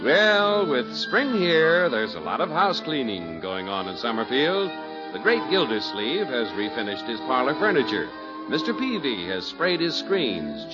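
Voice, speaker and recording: A man working as a radio announcer, delivering scripted copy in 1950s broadcast style. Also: mid-range voice. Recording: dull lo-fi old radio recording, music.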